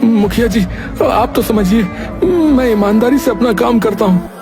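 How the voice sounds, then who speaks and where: A man voicing a calm, earnest shopkeeper and father who soothes others and pleads when wronged. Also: very high voice, measured pace. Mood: sorrowful. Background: background music.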